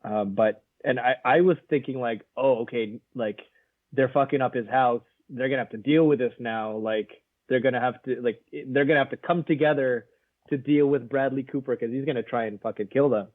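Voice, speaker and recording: A man's voice: medium pitch, fairly quick, no room sound. Man, casual film podcast co-host, rambling, laughs often, narrow phone-like sound, dry. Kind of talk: casual film review chat.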